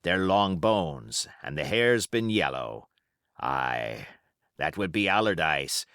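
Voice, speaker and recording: A man's voice: rumbling low, expressive, slow, fairly bright tone, clear, resonant tone, no room sound, clean studio sound, pitched below the performer's own voice, exaggerated voice. A man voicing a cunning, commanding pirate cook with folksy seafaring dialect and emphatic oaths, in an audiobook. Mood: musing, uneasy. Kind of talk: audiobook character voice.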